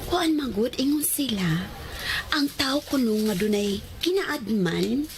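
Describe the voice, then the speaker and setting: A young Cebuano Filipino student in a radio drama, with a fairly high voice. She is chatty and a little coy, circling her point haltingly like someone sharing gossip. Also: animated, over music. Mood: coy, gossipy. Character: Kim, chatty, hesitant young woman, a graduating student.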